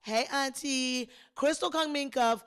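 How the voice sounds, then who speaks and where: A woman hosting a podcast, a little hoarse, chatting and telling a story straight to her listeners with plenty of emphasis. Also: sits high, unhurried, a bit bright. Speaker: podcast host. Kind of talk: casual solo storytelling.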